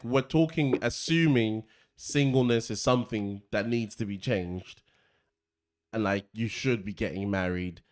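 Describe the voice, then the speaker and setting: A man co-hosting a casual faith discussion, probing with questions and halting restarts. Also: on the low side. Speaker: podcast co-host.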